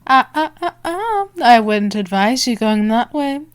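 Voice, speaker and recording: A woman playing a dominant, predatory female vampire in an ASMR roleplay, taunting and sultry, often slipping into breathy whispers. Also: on the high side, big pitch swings, measured pace. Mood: taunting, menacing. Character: dominant, teasing, predatory female vampire stalking her prey.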